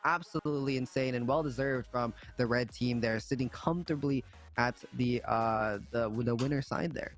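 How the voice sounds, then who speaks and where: Casual male esports caster, medium pitch. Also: normal speed, faint music behind. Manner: casual, appreciative, repeats himself. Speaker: esports commentator. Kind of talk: casual live game commentary and sign-off.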